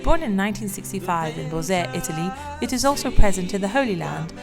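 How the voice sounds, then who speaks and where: A woman narrates a scripted news feature at a brisk pace. Her delivery is that of a documentary reporter, with clearly audible music running underneath. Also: medium pitch, lively intonation.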